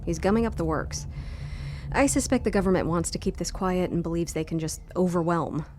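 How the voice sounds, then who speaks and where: A woman's voice: lowish voice, fairly quick, darkish tone, a little hiss or hum, natural voice. An actress playing a shrewd, knowing woman who explains things in a wry, emphatic way in an audio drama.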